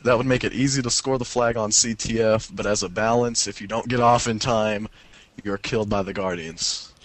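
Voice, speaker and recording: A man's voice: fairly low pitch, fairly even intonation, normal speed, no echo, low-quality recording. A man co-hosting a casual gaming show, reading out listener messages and joking around. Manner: jokey, often laughing through his words. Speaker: podcast co-host.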